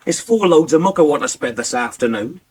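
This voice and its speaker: A man playing a cheeky, grumbling thirties farmer in a radio soap, with a rural English accent and a husky voice. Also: on the high side, expressive, a little fast. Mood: grumbling, aggrieved. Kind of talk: naturalistic radio soap acting.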